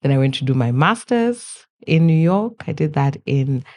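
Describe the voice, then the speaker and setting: A woman's voice: extremely low pitch, animated, normal speed, darkish tone. A woman in her thirties, born in Kenya, speaking as a podcast guest in an easygoing, chatty and good-humored way. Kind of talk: conversational interview.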